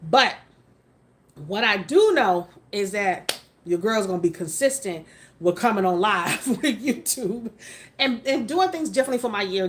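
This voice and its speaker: A woman's voice: medium pitch, a little hiss or hum. A woman in her late forties chats live to her viewers, frank and emphatic, now and then swearing for effect. She keeps it casual and conversational, picking up comments as they come in. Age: 40s. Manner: chatty, reads out and answers viewer comments; frank, mildly profane, emphatic. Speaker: livestream host. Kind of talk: casual livestream chat.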